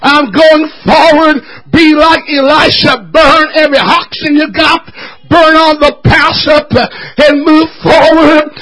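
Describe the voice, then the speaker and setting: A man preaching an impassioned sermon in church, shouting with his voice breaking from emotion. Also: extremely high pitch, little pitch movement, measured pace, rough recording. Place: church service.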